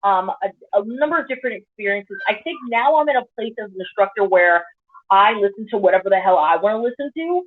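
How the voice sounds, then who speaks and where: Animated woman on a tinny video call. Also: medium pitch, husky. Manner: animated storyteller, quick asides. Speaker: pole dance instructor. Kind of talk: casual panel discussion.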